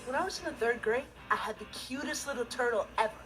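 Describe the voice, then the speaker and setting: A man's voice: extremely high pitch, fast, sharp tone, low-quality recording. A young male actor playing an earnest, responsible, easily exasperated teenage stepbrother, telling stories with emphatic comic timing in a TV sitcom. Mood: earnest storytelling. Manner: emphatic, theatrical comic delivery. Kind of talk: scripted comic monologue in a TV sitcom. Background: music and laughter.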